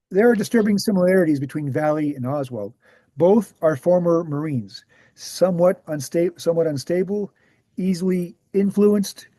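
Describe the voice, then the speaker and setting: A man reading the scripted part of a measured author who answers questions at a talk, deliberate and explanatory. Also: on the high side, warm tone, no echo, natural voice. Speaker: actor in a screenplay table read. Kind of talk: scripted dialogue read aloud.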